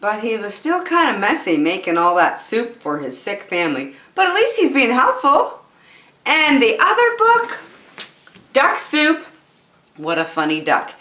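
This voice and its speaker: Very animated woman storyteller, high voice. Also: a little slow. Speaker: children's story time host. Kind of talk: animated read-aloud storytelling for children. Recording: roomy, echoey room sound.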